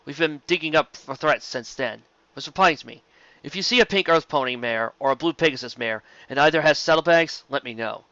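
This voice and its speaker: A man voicing a male pony guard officer, authoritative and deadpan, in an audiobook story. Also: fairly high pitch, brisk, no room sound, dull audio.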